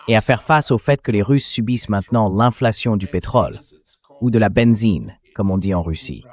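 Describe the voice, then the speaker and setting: This is a man's synthetic dubbing voice with a fairly low pitch. He delivers political commentary in a measured, analytical way, as in a translated interview. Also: a little mellow.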